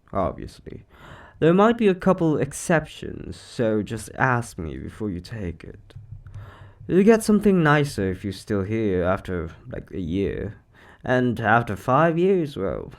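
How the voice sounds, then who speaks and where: Guy acting as chatty quirky boss of a spooky shop, upbeat, lowish gravelly voice, casual roleplay audio. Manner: animated, chatty, hesitant asides.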